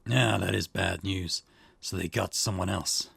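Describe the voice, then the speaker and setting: A man voicing a gruff, weary older chief detective from a visual novel, with a rough, terse delivery. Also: low-pitched, steady tone, measured pace, a bit bright, dry recording, voice pushed down, full character voice. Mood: grim, weary. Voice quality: gravelly, rough. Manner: terse, stern.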